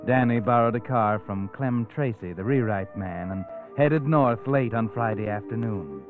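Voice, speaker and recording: A man's voice: fairly low pitch, normal speed, lightly acted. Vintage radio mystery host played by a man: sinister, insinuating storyteller, tinny old recording, music underneath. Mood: storytelling. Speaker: narrator. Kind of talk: 1940s radio mystery narration.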